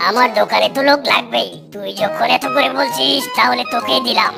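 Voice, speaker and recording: Gruff male shopkeeper animal, cartoon, heavy creak, obliging, loud children's music. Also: mid-range voice, brisk. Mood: agreeable. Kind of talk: cartoon dialogue. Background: loud jingly children's music.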